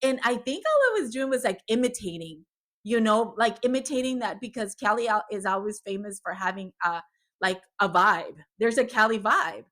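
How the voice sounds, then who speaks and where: A woman who grew up in California, hosting a casual podcast chat, talking warmly and switching into Spanish now and then. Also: fairly high pitch, expressive, moderate pace. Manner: chatty, laughs often, switches into Spanish. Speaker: host.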